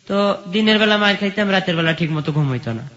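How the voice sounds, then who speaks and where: Male radio guest, Bangladeshi accent, higher-pitched voice, colloquial personal storytelling, dull lo-fi audio. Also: lively intonation, fast talker, a bit bright. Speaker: guest telling his life story.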